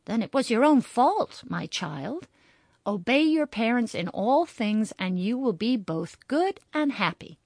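A woman's voice: a little high, big pitch swings, unhurried, darkish tone, dry recording, studio quality, voice pushed up, heavily acted. A woman voicing a bossy young girl who plays a strict mother, prim and commanding. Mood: stern.

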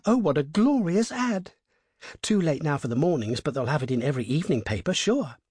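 A man voicing a bold, sharp-tongued young actress in an audiobook, speaking briskly and cheekily. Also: medium pitch, lively intonation, breathy edge, dry recording, studio quality. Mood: excited. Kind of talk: audiobook character voice.

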